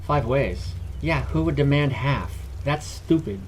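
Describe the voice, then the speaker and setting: A man's voice: medium pitch, measured pace, a little hiss or hum, close to an everyday voice, low-quality recording. A man voicing a terse, standoffish female dwarf thief in a fantasy audio story. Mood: guarded, blunt. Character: curt, guarded young female dwarf thief. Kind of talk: audio fiction character dialogue.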